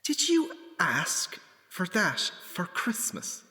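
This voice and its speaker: A man's voice: high-pitched, wildly swooping pitch, takes it slowly, pushed and bright, full character voice. An actor playing a blunt, disapproving elderly father in an audio comedy drama, his complaining voice in a long reverb. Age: older.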